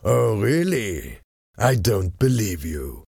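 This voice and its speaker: A man acts out a cunning French ambassador in his forties for a storybook. He is cold and smooth-tongued, drawing his words out slowly in a low, creaky voice with quiet menace. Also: sing-song, no room sound, clean studio sound, exaggerated voice. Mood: sly, goading.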